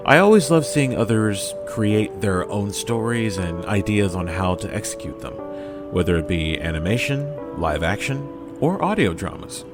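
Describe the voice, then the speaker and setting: Animated low-voiced man over music. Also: normal speed. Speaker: voice actor. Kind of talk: scripted personal message to listeners. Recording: music behind the voice.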